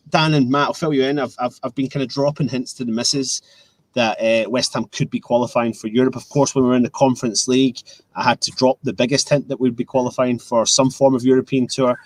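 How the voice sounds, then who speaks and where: A man's voice: medium pitch, dry recording, poor audio. A young Scottish man hosting a casual live fan stream, chatting and reading out viewer comments over a video call. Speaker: host.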